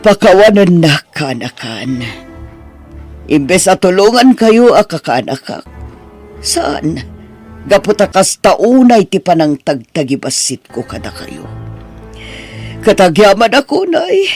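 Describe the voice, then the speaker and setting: A woman with an Ilocano Filipino accent playing a remorseful middle-aged aunt in an audio drama, pleading in a halting, emphatic way. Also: lowish voice, sing-song, a little mellow, soft background music, heavily acted. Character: remorseful older aunt who once belittled her niece.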